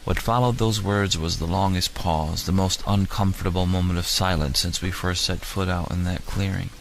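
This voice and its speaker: A man's voice: deep voice, steady tone, moderate pace, creaky, slight background noise, poor audio. A man narrating a dramatic first-person story as an audiobook narrator.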